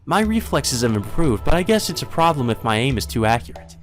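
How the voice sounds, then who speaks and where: A man's voice: mid-range voice, sing-song, fairly quick, faint music behind, pitched above the performer's own voice, close to an everyday voice. A man voicing a teenage vampire girl from a visual novel, pleasant and delighted while sweetly menacing. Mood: cheerful, apologetic. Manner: pleasant, delighted delivery of grim words. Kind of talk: visual novel character reading.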